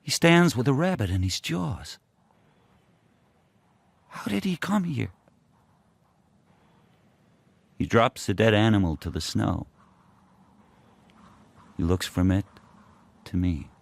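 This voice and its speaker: A man's voice: fairly low pitch, animated, vocal fry, heavily acted. An actor playing a proud, fierce sled dog who tells his own hard life in solemn, intense radio drama monologue. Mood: grim, defiant. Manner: solemn, deliberate, intense, short poetic phrases.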